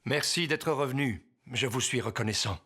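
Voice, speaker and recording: A man's voice: medium pitch, moderate pace, sharp tone, a little hiss or hum, lightly acted. A man voicing a formal, earnest official in a French cartoon dub, polite and grave. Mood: grave, pressing. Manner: courteous, grave.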